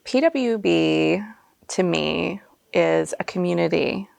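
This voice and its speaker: A woman from a small town in Alabama, talking thoughtfully in an interview and often pausing on fillers. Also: on the low side, expressive, creaky, darkish tone, no room sound. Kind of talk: interview to camera.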